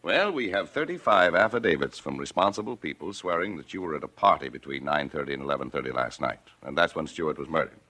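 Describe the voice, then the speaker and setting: An actor playing a tough, businesslike homicide inspector with clipped official questioning in 1940s radio crime drama. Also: male voice, rumbling low, expressive, fast, few pauses, pushed and bright, exaggerated voice. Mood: brisk, frank.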